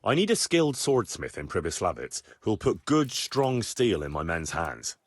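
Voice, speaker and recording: Firm medieval game leader: low, purposeful voice, animated, dry recording. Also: male voice, moderate pace, no echo. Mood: determined. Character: firm medieval leader seeking arms for his men. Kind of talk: scripted medieval video game dialogue.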